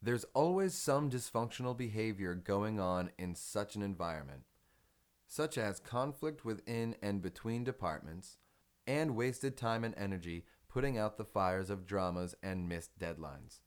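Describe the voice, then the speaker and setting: Low-pitched man narrating an article. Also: expressive, unhurried, clean tone. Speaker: narrator reading an article aloud. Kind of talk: scripted narration of a business article.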